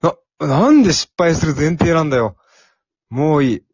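A man's voice: fairly high pitch, sing-song, moderate pace, darkish tone, breathy edge, no echo, lo-fi sound, full character voice. A man playing a timid, earnest young office worker in an amateur radio drama, with plain boyish phrasing. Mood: protesting.

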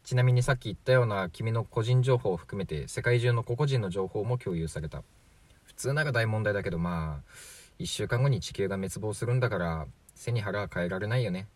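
Man acting a low-voiced, breezy man from the future. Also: brisk, no echo, lightly acted. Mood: explaining, casual. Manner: chatty, glib, casually matter-of-fact. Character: 43-year-old man from the future, breezy and flippant. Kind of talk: amateur radio drama acting.

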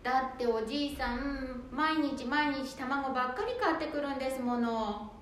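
A woman's voice: high-pitched, normal speed, close to an everyday voice. A woman playing a polite, harried young wife looking after her in-laws in an amateur radio drama. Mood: gently complaining. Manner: polite, domestic, gently complaining. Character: polite, patient city-born daughter-in-law, harried housewife. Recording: very echoey room, faint noise.

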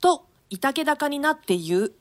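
A woman's voice: high voice, expressive, normal speed. A woman narrating the stage directions of a play in a scripted, dramatic reading style.

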